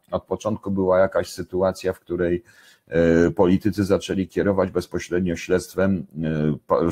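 A man in his sixties from Poland giving unscripted live commentary, halting and deliberate, repeating phrases for emphasis, with a husky voice. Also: sits low, warm tone. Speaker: commentator answering viewers' questions. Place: indoors, home kitchen.

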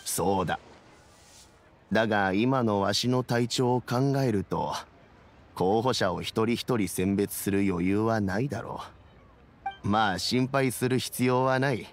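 Dignified old statesman, low voice. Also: slight background noise. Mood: explanatory, calm. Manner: measured, old-fashioned elder's speech. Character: dignified kindly elderly statesman, grey-haired, calm and wise. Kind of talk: Japanese video game voice acting.

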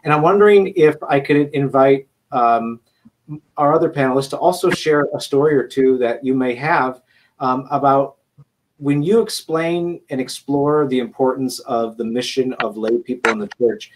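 A man's voice: mid-range voice, normal speed, slight background noise, low-quality recording. A man moderating a panel over a video call, hesitant with many restarts. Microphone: webcam on video call.

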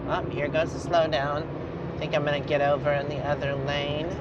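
A woman's voice: sits low, little pitch movement, a little fast, highs cut off. A middle-aged woman chatting casually to the camera while driving her RV, talking to herself about the road. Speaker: RV travel vlogger. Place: inside a moving RV cab. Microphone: dash or handheld camera in vehicle. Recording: road noise behind.

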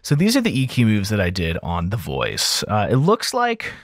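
Low-pitched man, casual audio tutorial. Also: wildly swooping pitch, normal speed, dry recording, studio quality. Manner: informal, conversational, thinking aloud. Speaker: audio engineer giving a mixing tutorial. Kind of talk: casual software tutorial voice-over.

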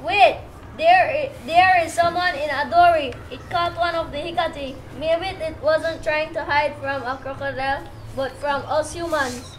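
A woman plays an excitable young puppet-show ranger with a very high voice. Slight noise sits behind the live recording. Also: measured pace. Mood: excited, concerned. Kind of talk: amateur puppet show acting.

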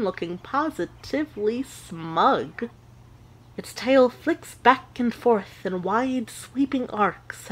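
A woman playing a witch who narrates an audio drama with measured, deliberate storytelling. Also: a little high, breathy edge, exaggerated voice, low-quality recording.

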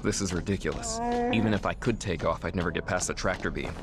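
An actor playing a cocky, grumbling smuggler captain in a space game, casual and dry. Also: male voice, deep voice, fast talker, heavy background noise. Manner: casual, dry, self-assured. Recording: loud game sound effects behind.

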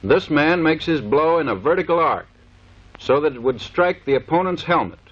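A man's voice: fairly high pitch, animated, normal speed, fairly bright tone. Man narrating an old training film. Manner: crisp, matter-of-fact instruction. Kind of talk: scripted instructional narration. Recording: dull lo-fi 1930s film soundtrack.

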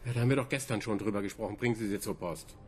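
An actor playing an evasive, scheming institute boss in a radio drama, formal, curt and guarded. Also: male voice, lowish voice, rapid-fire, a little mellow, slight background noise, close to an everyday voice. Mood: brusque. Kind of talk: German radio drama acting.

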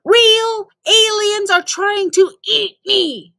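A woman's voice: very high voice, steady tone, slow pace, creaky, bright, forceful tone, no echo, studio quality, voice pushed up, heavily acted. A woman voicing a panicky, exasperated young kid in a storybook, indignant and complaining. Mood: outraged.

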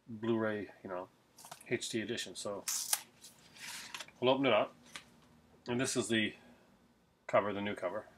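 A man's voice: lowish voice, a little hiss or hum. A middle-aged man chatting casually in a home unboxing vlog, rambling with lots of fillers and restarts, recorded on a webcam at his desk. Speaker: collector unboxing a Blu-ray. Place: small home room, indoors.

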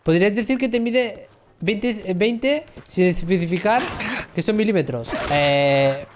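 A man's voice: high-pitched, a little slow, background noise. Joking man streaming a game. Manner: joking, self-mocking. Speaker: livestream gamer. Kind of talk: casual banter with chat. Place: room at home, seated in gaming chair.

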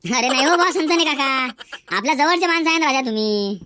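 A man's voice: very high voice, lively intonation, brisk, sharp tone, dry recording, soft background music, full character voice. A man voicing a scrappy, hot-headed male villager in a comedy cartoon, brash in manner, with a Varhadi Marathi accent. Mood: familiar, cajoling. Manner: brash, combative.

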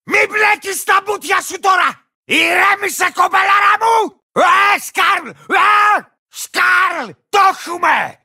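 An actor playing an unhinged, cranky bandit lizard-rider in a video game, boastful and fiercely territorial, with a gravelly, shrill voice and a manic, belligerent manner. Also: male voice, pushed and bright, shouting, exaggerated voice. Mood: frantic, exasperated. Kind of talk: shouted video game character lines.

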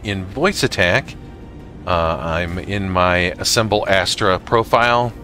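Slow, low-voiced man giving a tutorial. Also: sharp tone, faint music behind. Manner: halting, pausing mid-sentence. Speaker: gamer making a tutorial video. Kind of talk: casual software tutorial narration.

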